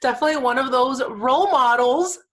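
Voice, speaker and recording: A woman's voice: high-pitched, brisk. A woman hosting a casual pop culture podcast, gushing and emphatic, with informal slang and phrases repeated for emphasis. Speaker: podcast host.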